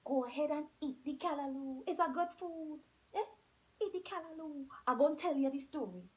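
A woman's voice: high-pitched, expressive, moderate pace. Woman doing a sly, fat, secretive villager. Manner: sly, evasive, chuckling. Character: fat, secretive, good-humoured village man.

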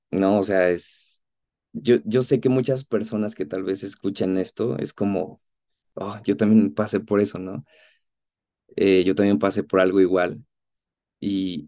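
A man of about thirty with a Mexican accent, giving an earnest, conversational personal testimony in a halting way over a video call. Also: lowish voice, dark tone. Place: indoor room at home, on a video call.

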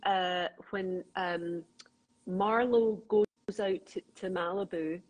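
Middle-aged Glaswegian woman, husky low voice, quick anecdotal interview guest. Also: darkish tone, rough recording. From Scotland. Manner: chatty storyteller, quick, laughs often. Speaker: crime novelist guest. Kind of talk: casual conversational interview.

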